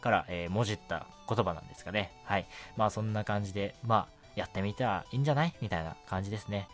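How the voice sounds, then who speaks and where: A man's voice: fairly low pitch, quick pace, a bit bright, soft background music. A man hosting a casual radio show, easygoing and a little hesitant as he talks through listener mail.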